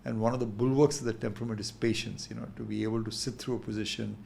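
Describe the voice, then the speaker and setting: Gravelly-voiced Indian man in his sixties. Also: on the low side, fairly even intonation, darkish tone, slight background noise. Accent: Indian English. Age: 60s. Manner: thoughtful, unhurried, reflective. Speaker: guest investor. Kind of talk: candid interview talk.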